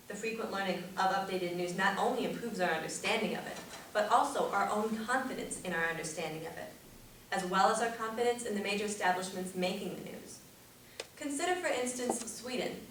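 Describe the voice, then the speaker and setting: A young woman speaks from some distance in a very reverberant room, with faint noise in the recording. Her delivery is a rehearsed, persuasive competition speech, formal and deliberate. She projects her words at a medium pitch. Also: fairly bright tone. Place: echoey indoor room at a speech tournament. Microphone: distant camera or room microphone.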